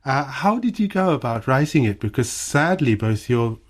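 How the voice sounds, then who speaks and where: A male radio host interviewing a guest in a studio, asking gentle, measured questions. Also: medium pitch, big pitch swings.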